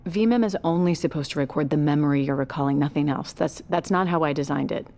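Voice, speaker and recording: A woman's voice: deep voice, fast talker, a little mellow. A woman playing a blunt, driven scientist in an audio drama, terse and demanding in her delivery. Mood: suspicious, agitated. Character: driven, blunt female scientist, increasingly alarmed.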